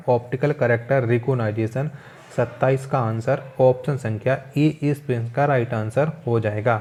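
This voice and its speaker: A man's voice: medium pitch, a little mellow. A man teaching an exam-prep quiz lesson, reading out questions, asking them again rhetorically and repeating the answers.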